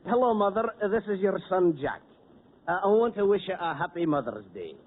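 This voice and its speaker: A man's voice: high voice, sing-song, fairly quick. An actor playing a proud, thrifty Scotsman with a put-on Scottish accent, in a 1940s radio comedy. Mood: earnest. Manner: stiff and proud. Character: Scotsman Jock McGregor, fussy and thrifty. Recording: tinny 1940s radio broadcast with hiss.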